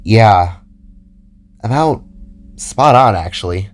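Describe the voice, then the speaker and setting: A man's voice: fairly low pitch, expressive, slow pace. A man playing a troubled, weary teenage boy in a fantasy audio story, dry and hesitant in manner. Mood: matter-of-fact.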